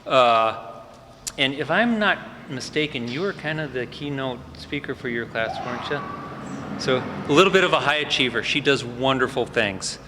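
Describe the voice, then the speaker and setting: A man's voice: mid-range voice, expressive, normal speed. A man introducing graduates at a fire department ceremony, giving off-the-cuff remarks with frequent fillers. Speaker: fire department EMS supervisor presenting cadets. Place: large indoor hall at a ceremony. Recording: echoey hall with faint ambient noise.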